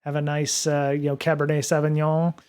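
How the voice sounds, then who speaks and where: A man's voice: a little high, completely flat delivery, a little fast, darkish tone, clear, resonant tone. A man hosting a casual livestream from his home studio, rambling with lots of fillers and restarts while answering viewer comments. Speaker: podcast and livestream host. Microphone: studio microphone at desk.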